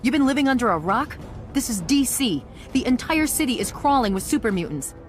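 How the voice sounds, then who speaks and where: A woman's voice: fairly high pitch, very animated, fairly quick, soft background music. An actress playing a no-nonsense woman soldier in a game, curt, commanding and a little scornful. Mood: brusque, urgent. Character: brusque, no-nonsense woman soldier in ruined city.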